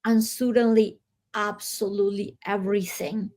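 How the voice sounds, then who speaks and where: A woman from Colombia, slowly and reflectively telling her own story as an interview guest. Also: medium pitch, level tone. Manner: slow, reflective, with soft laughs and pauses.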